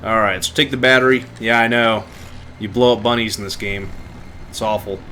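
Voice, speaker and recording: A man's voice: on the low side, steady tone, fairly quick, pushed and bright, slight background noise. A man livestreaming a video game with casual running commentary. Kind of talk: casual let's play commentary.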